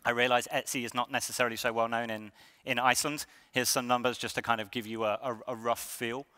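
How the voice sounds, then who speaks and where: Bright-voiced man speaking in a hall. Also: medium pitch, flat delivery, fast. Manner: conversational, many ums and restarts. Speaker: conference speaker. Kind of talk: conference talk. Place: lecture hall. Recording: reverberant hall.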